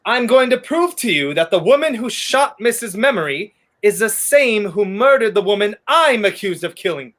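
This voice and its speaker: A young man acting the earnest, determined hero of a 1930s-style radio play, a gentleman wrongly accused. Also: high-pitched, lively intonation, normal speed, few pauses. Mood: urgent, insistent. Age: young adult.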